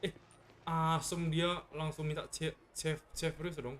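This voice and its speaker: A woman's voice: low-pitched, little pitch movement, unhurried, slight background noise. A woman with an Indonesian accent, livestreaming a game with casual commentary and short exclamations. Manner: reacts in short exclamations, echoes dialogue.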